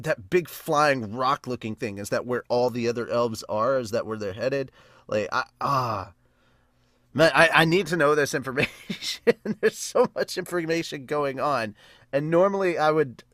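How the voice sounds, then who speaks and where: A man's voice: medium pitch, a bit bright, no room sound. Rambling man with a dry, close sound. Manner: rambling, enthusiastic fan talk. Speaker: podcast host and reviewer. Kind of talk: casual live reaction and review.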